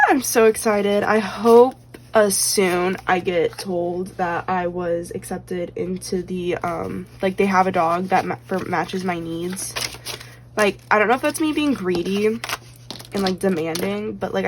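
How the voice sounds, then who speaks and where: A woman's voice: on the low side, noisy background. Chatty woman losing her voice a bit. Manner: chatty, rambling with many fillers; counts bills aloud. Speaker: budgeting vlogger. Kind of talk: casual chat while cash stuffing.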